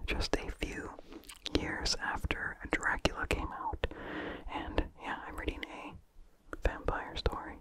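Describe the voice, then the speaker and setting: An ASMR host reading in a breathy, unvoiced whisper, slowly and calmly, with careful enunciation. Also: rough recording. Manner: slow, calm, careful enunciation. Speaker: ASMR host and story reader.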